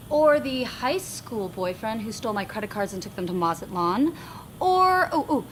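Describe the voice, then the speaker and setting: A woman's voice: on the high side, a little hiss or hum, lightly acted. Woman playing a sardonic thirties woman, dry and wry. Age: 30s. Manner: sarcastic, wry. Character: sardonic 32-year-old woman, burned by men.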